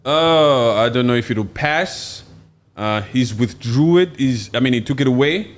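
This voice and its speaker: Lively Swahili-native man chatting. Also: mid-range voice, unhurried, a little hiss or hum. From Democratic Republic of Congo. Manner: animated, joking, bursts of loud exclamations. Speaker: show host. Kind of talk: casual political chat.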